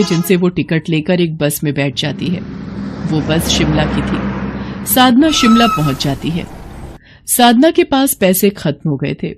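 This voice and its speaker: A woman's voice: lowish voice, a little mellow, a little hiss or hum. A woman narrating a scripted moral bedtime story as its storyteller.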